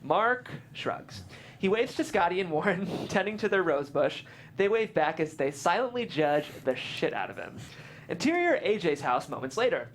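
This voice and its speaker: Amused, lively stage-direction reader. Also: medium pitch, moderate pace, slight background noise. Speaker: narrator reading stage directions. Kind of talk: script table read.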